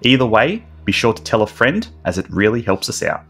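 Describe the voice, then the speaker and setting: Young male host, deep and animated, announcer energy, light music bed. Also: fairly quick, slightly rough. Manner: upbeat announcer-style delivery. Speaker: podcast host. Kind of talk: scripted podcast intro. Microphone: headset microphone.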